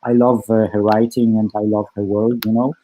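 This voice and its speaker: A man's voice: fairly low pitch, little pitch movement, normal speed, dark tone, poor audio. A man from Poland, a film director answering festival questions in English as a second language, hesitant with many fillers. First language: Polish.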